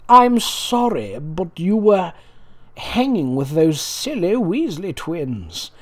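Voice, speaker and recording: A man's voice: sits high, sing-song, takes it slowly, a little mellow, pitched above the performer's own voice, full character voice. A man voicing a snobbish, disdainful teenage wizard boy from a fan fiction story, drawing out his words. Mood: disdainful apology. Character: snobbish pure-blood teenage wizard boy, grudgingly apologetic.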